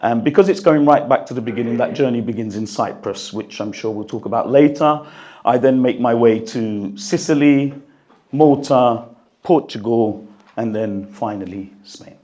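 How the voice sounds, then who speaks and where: A man with a croaky, strained voice speaks to an audience at a book launch, then reads an excerpt, earnest and reflective, with the odd cough. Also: mid-range voice, normal speed, dark tone, a little hiss or hum. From United Kingdom. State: croaky voice, recovering from losing it. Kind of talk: talk and reading from his book. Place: hall at a gathering, in front of an audience. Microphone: handheld microphone.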